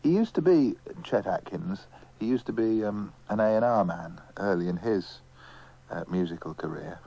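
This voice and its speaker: A man presenting music on the radio, pausing mid-phrase as he chats between songs. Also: lowish voice, expressive, gravelly, mellow, slightly rough. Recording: muffled old radio tape with faint music.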